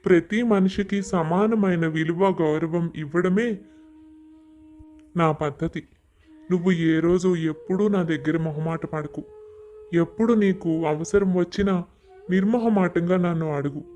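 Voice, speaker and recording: A man's voice: sits high, a little slow, darkish tone. A man playing a kind, principled young man in a moral story, earnest and respectful. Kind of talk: animated moral story dialogue.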